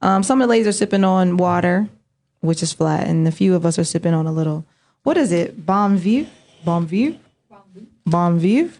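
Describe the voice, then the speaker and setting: A woman's voice: fairly low pitch, lively intonation, normal speed, darkish tone. A woman hosting a casual beauty talk show indoors, joking and laughing with loose filler-heavy speech. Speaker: host. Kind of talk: casual chat. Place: indoor set, table of guests.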